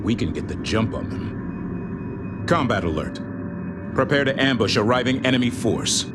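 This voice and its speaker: An actor playing a calm, authoritative fleet intelligence officer in a sci-fi military game, speaking in clipped, formal briefings. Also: male voice, mid-range voice, unhurried, very noisy, natural voice. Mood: commanding, urgent. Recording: game audio under loud music. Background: loud music.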